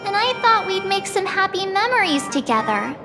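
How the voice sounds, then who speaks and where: A woman voicing a cheerful, excitable young girl adventurer in a video game, bubbly and self-deprecating, with game music behind. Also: very high-pitched, normal speed. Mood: wistful.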